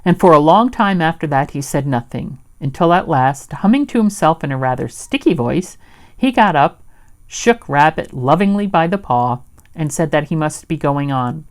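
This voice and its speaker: A woman reading a children's storybook aloud as an expressive storyteller. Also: sits low, moderate pace.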